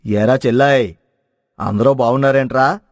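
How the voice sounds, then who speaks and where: Man voicing an earnest older village brother, lowered pitch. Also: fairly even intonation, normal speed, dry recording, studio quality, natural voice. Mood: affectionate. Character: affectionate, hopeful older village brother, a bride's father.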